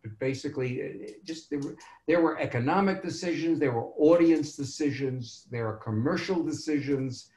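A man's voice: fairly high pitch, normal speed, darkish tone. An older man, a filmmaker on a video call panel, talking frankly and rambling with self-corrections. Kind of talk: casual panel discussion. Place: home room on a video call. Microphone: laptop webcam mic on video call. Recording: roomy echo on a video call.

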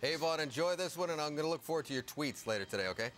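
A man's voice: a little high, lively intonation, rapid-fire. A middle-aged man hosting a live sports broadcast from a studio, putting questions to a guest.

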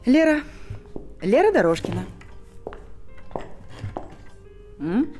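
An actress in her forties playing a poised, bitter abandoned wife in a film drama, with a measured, theatrical delivery. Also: on the high side, big pitch swings, darkish tone, soft background music. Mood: bitter, ironic. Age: 40s.

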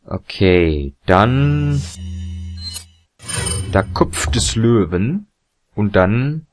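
A young man commentating a video game, chatty and rambling with fillers and self-corrections, his voice a little hoarse and tired. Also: fairly low pitch, lively intonation, very slow, faint music behind. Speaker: let's play commentator. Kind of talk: casual gameplay commentary.

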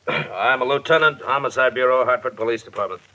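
An actor playing a matter-of-fact police homicide lieutenant testifying in a 1950s radio drama. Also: male voice, medium pitch, a little fast, pushed and bright, close to an everyday voice. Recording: dull vintage 1950 radio recording.

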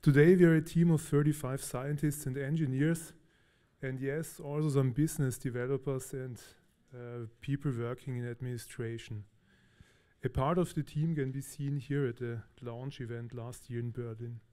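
A man from Austria giving a technical talk on stage at a conference, relaxed and a little hesitant, with fillers. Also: mid-range voice, moderate pace. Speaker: conference speaker. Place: large conference hall.

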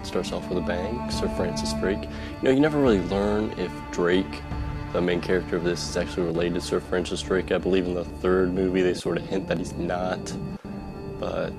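A man's voice: low-pitched, fairly even intonation, brisk. A man giving casual, self-deprecating commentary as a let's play host, over game audio in a home recording. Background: game music and sounds.

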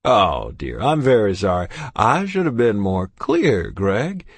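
A man voicing a kindly, cheerful older male doctor who speaks gently and slowly. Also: fairly low pitch, very animated, slightly rough, no room sound, full character voice. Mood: amused. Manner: gentle, unhurried, pleased.

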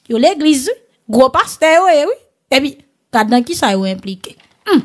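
A woman with a Haitian accent hosting conversational online news commentary, addressing her listeners familiarly in a bantering, animated way. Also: high voice, moderate pace.

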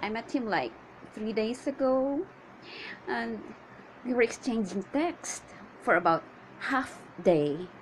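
A woman performing a comedic monologue as a needy, chatty woman waiting on a suitor, with theatrical exasperation and drawn-out questions. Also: on the high side, animated, slight background noise, low-quality recording. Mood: chatty, exasperated.

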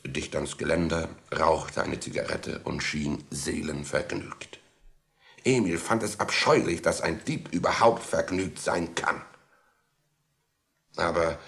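A man's voice: sits low, a bit bright. A man narrating a radio play expressively, dramatically slipping into the thoughts of the character he tells about. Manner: dramatic storytelling, voicing a boy's thoughts.

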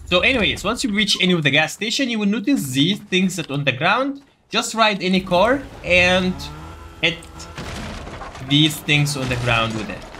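A man's voice: high-pitched, animated, moderate pace, bright, forceful tone, a little hiss or hum. A man giving a casual gameplay tutorial, explaining steps directly to viewers. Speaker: gaming video creator giving a quest guide.

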